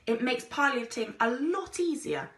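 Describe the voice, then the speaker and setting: A woman's voice: sits high, animated, unhurried, a bit bright, echoey room, pitched above the performer's own voice. A woman voicing a daredevil male explorer pilot from a storybook, commanding and a little mad, barking urgent instructions. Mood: instructing. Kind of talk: storybook character voice.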